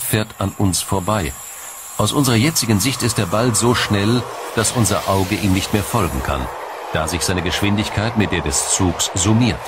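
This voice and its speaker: A man reading a scripted science documentary in a measured, formal, explanatory manner. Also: deep voice, slight background noise. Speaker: documentary narrator.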